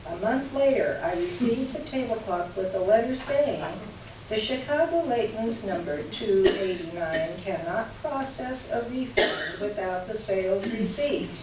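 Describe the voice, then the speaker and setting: A woman's voice: mid-range voice, animated, a little slow, darkish tone, close to an everyday voice. Woman acting an indignant letter writer, very echoey room. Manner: exasperated, sardonic, emphatic. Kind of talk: dramatic reading of a letter, readers theater. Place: reverberant room, recorded from a distance. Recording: echoey room, distant mic, muffled, faint noise.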